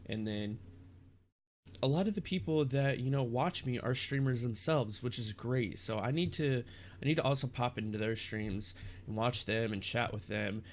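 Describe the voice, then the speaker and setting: A young man with a congested, stuffed-up nose, rambling in a halting casual vlog about his streaming. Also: medium pitch, slight background noise. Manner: rambling, halting with many restarts and fillers. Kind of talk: casual vlog talk to camera.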